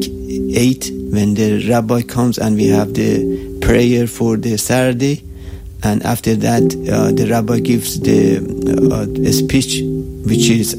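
An older man with an Iranian accent speaks in a low, gravelly voice. He tells his story earnestly, pausing to search for words. Loud guitar music plays beneath him. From Iran. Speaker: shop owner. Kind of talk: interview storytelling.

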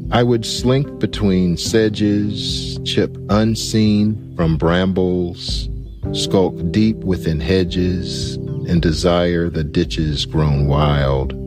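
A man reading his own poem aloud, slow and deliberate, lingering on each phrase. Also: low-pitched, fairly even intonation. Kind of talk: slow, measured poetry reading. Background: soft guitar music.

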